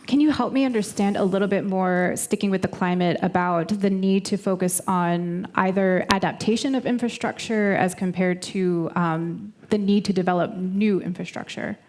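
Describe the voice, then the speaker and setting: A woman's voice: mid-range voice, moderate pace, few pauses, a little mellow. An American woman hosting and interviewing onstage at an event, friendly and upbeat. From United States. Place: event stage before a live audience.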